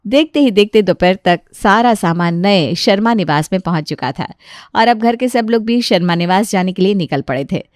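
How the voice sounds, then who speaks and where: A woman narrates an animated moral tale for children as a scripted read. Her pitch is medium, and the recording is very dry and close, with no room sound. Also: normal speed, dry recording.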